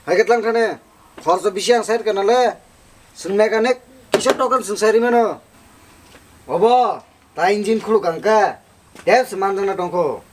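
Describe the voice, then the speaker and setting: A man's voice: sits high, moderate pace, pauses often, a little hiss or hum, close to an everyday voice. A young man with a Kokborok accent acting in an amateur short film, playing a villager who wants his motorbike fixed. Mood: excited. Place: outdoor roadside shop shelter.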